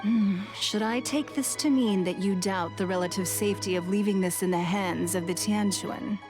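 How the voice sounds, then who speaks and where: An actress plays an elegant noblewoman official in an anime fantasy game. Her mid-pitched voice is measured and formal, archly polite and slyly teasing, over clear background music. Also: close to an everyday voice. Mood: composed. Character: refined, teasing noblewoman official, composed and sly.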